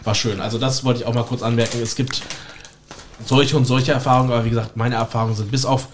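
Male podcast guest from Erfurt, mid-pitched, casual chat, faint background noise. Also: fairly even intonation, fast talker. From Erfurt, eastern Germany. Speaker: podcast guest, stage magician.

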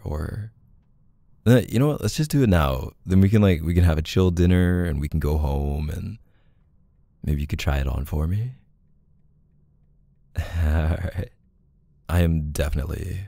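Male, very low and creaky, for an affectionate, bashful boyfriend in an intimate audio roleplay; hesitant, casual delivery. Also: measured pace, pauses often, warm tone, no room sound. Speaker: ASMR boyfriend roleplay performer. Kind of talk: intimate casual one-sided roleplay acting.

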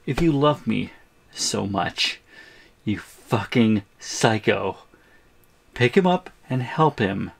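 An actor playing a shrunken, terrified captive in an audio roleplay, pleading in a halting way. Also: medium pitch, animated, gravelly, slight background noise. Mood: angry panic. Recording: music under the voice.

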